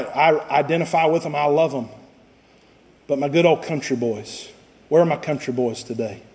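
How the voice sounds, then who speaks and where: High-voiced preacher man going hard at the pulpit, big church reverb ringing out. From Costa Rica. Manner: impassioned preaching, swinging from low and drawn out to loud cries. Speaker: pastor. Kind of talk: sermon. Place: church sanctuary. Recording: long reverb of a church sanctuary.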